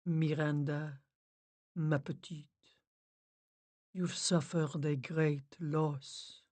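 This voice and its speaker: A soothing séance medium , played by a woman narrator, low and very slow. She speaks softly and with deep sympathy, in the hushed style of a mystery audiobook. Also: steady tone, no echo. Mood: sympathetic. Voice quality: low, soft. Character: soft-spoken, sympathetic female spirit medium, French endearments.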